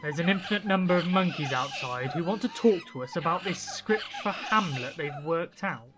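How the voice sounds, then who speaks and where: Woman as a lowish-voiced, bewildered space traveller. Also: animated, moderate pace, over music, close to an everyday voice. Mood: bewildered, dry. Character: sceptical, bewildered, polite reluctant space traveller. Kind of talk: comic sci-fi audio drama acting.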